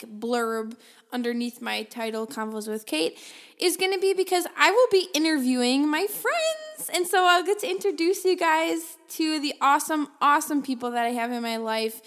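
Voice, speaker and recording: A woman hosting her own podcast alone in a small office, talking casually and upbeat into a desk microphone, with a loud voice that carries. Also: sits high. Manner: chatty, upbeat, laughs often, self-deprecating. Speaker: podcast host. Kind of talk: casual solo podcast chat. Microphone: desktop microphone.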